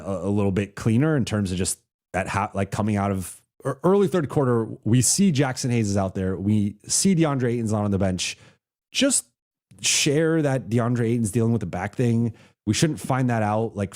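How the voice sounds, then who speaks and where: A man's voice: fairly low pitch, a little mellow. Stuffy-voiced man losing his voice. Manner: halting, with frequent restarts and "like" fillers. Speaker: basketball reporter hosting a livestream. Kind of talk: casual live reaction, reading super chats.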